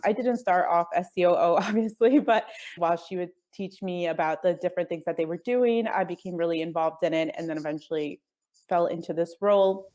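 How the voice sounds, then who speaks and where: A woman's voice: lowish voice, a little fast. A woman who grew up speaking Spanish first, talking in an earnest, easygoing way as a guest on a call. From United States. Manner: earnest, easygoing, with soft laughs and hedges like "I guess". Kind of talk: casual interview chat.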